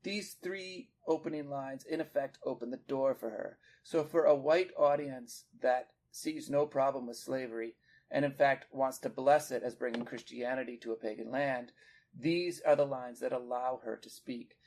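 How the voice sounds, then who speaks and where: A man with a noticeable creak in his voice talks through literature as a podcast co-host. His pitch sits in an ordinary middle range. He is analytical and measured, building his explanation point by point. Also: a little mellow, low-quality recording. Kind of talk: poetry discussion, explanatory.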